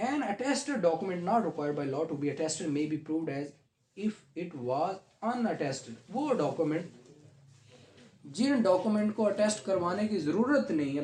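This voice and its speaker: A man teaching a law class, reading legal text slowly and then explaining it conversationally to students. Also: fairly high pitch, a little mellow, slight background noise. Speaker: law lecturer. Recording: echoey classroom.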